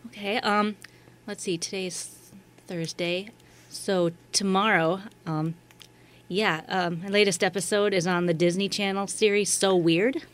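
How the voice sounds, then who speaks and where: Woman guest on a radio chat, easygoing and agreeable, medium pitch, informal. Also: fairly even intonation, measured pace. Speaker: radio interview guest, show producer. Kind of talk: casual interview chat. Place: radio station studio.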